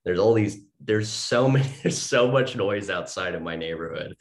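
A young man with a very low voice talks loosely and animatedly, stringing thoughts together with lots of "like" and "you know". He is a composer in a casual interview. He is recorded on a home mic in his own office, and the room rings with echo. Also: expressive, normal speed. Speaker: composer guest. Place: home office between two windows. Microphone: home mic setup.

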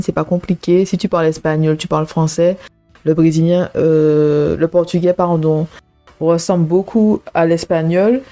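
Low, mellow woman, casual faith podcast. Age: 30s. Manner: casual and personal, many "euh" and "hein" fillers, preaching tone. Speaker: podcast host. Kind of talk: faith podcast talk addressed to listeners.

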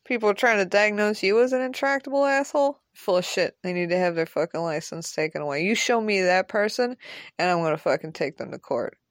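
A woman's voice: mid-range voice, brisk, no room sound, clean studio sound, lightly acted. A woman playing a blunt, protective, foul-mouthed man in an audio drama, rambling and emphatic. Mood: protective, joking. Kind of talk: naturalistic audio drama dialogue.

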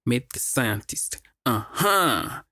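A man's voice: mid-range voice, big pitch swings, slow pace, bright, forceful tone, dry recording, clean studio sound. A young man with a Kenyan accent, chatting casually as a solo podcast host in a slangy way, often repeating words for emphasis.

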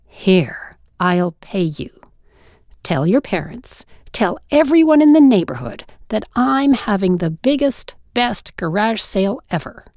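A woman storyteller voicing an indignant wife turned brisk garage-sale seller, emphatic and dignified. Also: medium pitch, sing-song, darkish tone, no room sound, clean studio sound. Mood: brisk, scheming.

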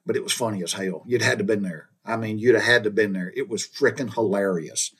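A middle-aged man with a drawl talks through old memories in a loose, rambling monologue. He chuckles often and curses mildly, with a folksy, unhurried storyteller's manner. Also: fairly low pitch, a bit bright, husky. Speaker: podcast host.

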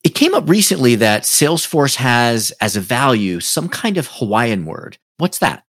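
A man's voice: medium pitch, big pitch swings, normal speed, fairly bright tone, no echo. Man hosting a podcast, dry close sound. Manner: formal, reads a long guest introduction. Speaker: podcast host. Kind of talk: interview podcast.

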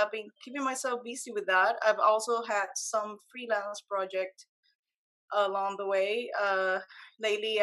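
A woman's voice: mid-range voice, a bit bright. Young woman with a Puerto Rican accent. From Puerto Rico. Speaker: guest, photographer and motion graphic designer. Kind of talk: casual interview answers.

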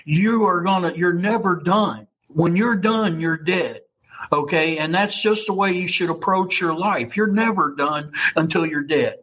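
A man's voice: high voice, measured pace, fairly bright tone, slightly rough, poor audio. A man in his fifties with a Kentucky accent, telling his story as an interview guest in a blunt, salty, conversational way. He keeps checking in with his listener with "okay?" and "you know.". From United States. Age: 50s. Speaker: interview guest, ayahuasca ceremony leader.

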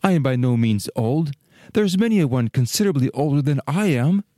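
A man's voice: mid-range voice, animated, a little fast, warm tone, no room sound, clean studio sound, natural voice. A man voicing a naive, vain and wistful young fir tree in a children's storybook, musing aloud. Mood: defensive. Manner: musing aloud in rhetorical questions. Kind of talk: dramatized children's storybook reading.

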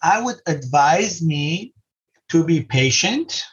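Middle-aged man, husky, muffled. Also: on the high side, lively intonation, takes it slowly. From Lebanon. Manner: earnest, repeats simple advice. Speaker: podcast guest. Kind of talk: casual advice in an interview. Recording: muffled lo-fi recording.

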